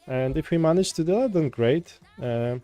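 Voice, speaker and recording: A man with a Polish accent talks in a loose, wandering way, often restarting his sentences as he chats with viewers. His voice is warm and dark rather than bright. Folk music plays quietly in the background. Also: on the high side, lively intonation, moderate pace. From Poland. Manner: rambling, frequent restarts, chatty with viewers. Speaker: coding livestreamer. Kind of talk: casual livestream chat while coding. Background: soft folk music.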